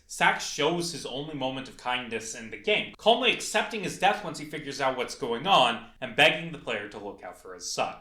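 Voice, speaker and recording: A man's voice: fairly high pitch, sharp tone. A young adult man narrating a scripted video essay as a game developer. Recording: echoey, reverberant room.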